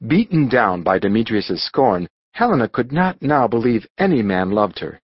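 A man narrating a scripted storybook audiobook with dramatic, expressive delivery. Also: medium pitch, moderate pace, slightly rough, no echo, highs cut off.

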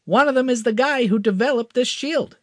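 A man voicing Crash, an excited, slightly nervous young tech whiz. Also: dry recording, clean studio sound. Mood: eager. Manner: excited, quick, pitched up.

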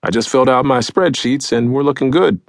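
A man voicing a brisk, businesslike trailer park office manager in plain colloquial audiobook dialogue. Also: mid-range voice, dry recording, studio quality, pitched above the performer's own voice, close to an everyday voice.

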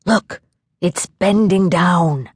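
A woman's voice: lowish voice, expressive, measured pace, no echo, studio quality. Woman putting on a pompous, flustered male dowser. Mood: excited whisper. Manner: wordy, formal diction.

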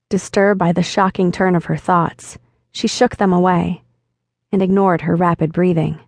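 A woman audiobook narrator reading a novel in a steady, intimate storytelling voice. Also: medium pitch, dry recording. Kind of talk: scripted narration.

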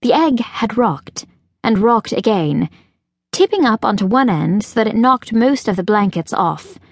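A woman reading a children's fantasy audiobook as narrator, in a measured, expressive storytelling manner. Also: mid-range voice, no echo, clean studio sound. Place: recording studio.

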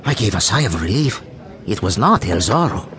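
An actor playing a portly, obsequious tavern keeper and storyteller in an audio drama, deferential with a sly, dry edge. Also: male voice, fairly low pitch, very animated, normal speed, background noise. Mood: narrating. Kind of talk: audio drama acting with narration.

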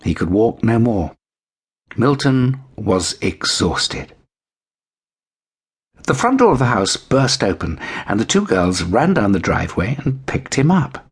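Male narrator for children's bedtime tales: lowish register, husky, expressive delivery. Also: a little slow. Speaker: audiobook narrator.

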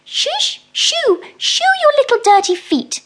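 A woman's voice: extremely high pitch, big pitch swings, takes it slowly, a bit bright, voice pushed up, full character voice. A woman voicing a fussy, very tidy little female mouse who talks in a prim, scolding way.

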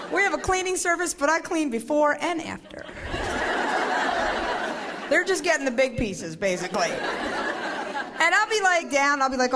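A high, lively woman in her forties performs stand-up on a stage, her pitch leaping all over as she shouts her punchlines. A loud crowd laughs and roars around her in the live room. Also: very noisy. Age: 40s. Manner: animated, shouting punchlines, doing voices. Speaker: stand-up comedian. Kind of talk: stand-up comedy routine. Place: comedy stage before a live audience.

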